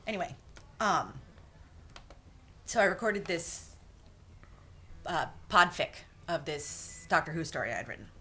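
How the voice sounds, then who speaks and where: A woman's voice: low-pitched, big pitch swings, measured pace, pauses often, sharp tone. An American woman chatting casually in a self-deprecating way. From United States. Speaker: podfic maker and fan writer. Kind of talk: casual chat.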